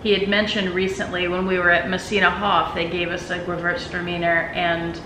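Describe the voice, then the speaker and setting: A woman's voice: on the low side, monotone, brisk. A woman hosting a home vlog, chatting casually as gifts are opened. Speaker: vlog host. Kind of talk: casual chat while opening gifts. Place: indoors at home, roomy space. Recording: roomy echo, noticeable background noise.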